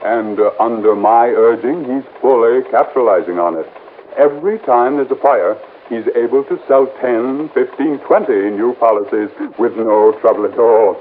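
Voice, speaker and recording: An American actor playing a fussy, worried insurance executive in 1950s radio drama, hesitant, wordy and formal. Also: male voice, medium pitch, normal speed, phone-quality sound, lightly acted. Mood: fretful. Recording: thin, faintly noisy old radio recording.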